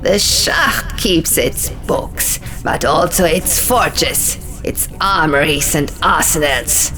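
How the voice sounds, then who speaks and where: A woman voicing a haughty, menacing male scribe god in an audiobook, with slow, pompous, riddling declamation. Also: low-pitched, lively intonation, low-quality recording. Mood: pompous, challenging. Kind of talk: audiobook character voice. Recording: music behind the voice.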